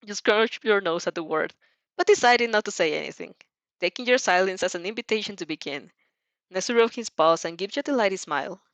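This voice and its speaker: A woman's voice: mid-range voice, animated, brisk, dry recording. A woman narrating a second-person fan fiction story aloud, informally, stumbling and correcting herself, on a slightly dull home recording.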